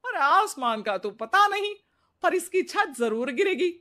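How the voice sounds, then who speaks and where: A woman voicing an irritable, scolding middle-aged mother-in-law in an animated story, nagging with exasperated sarcasm. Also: very high voice, a little slow, voice pushed up, exaggerated voice.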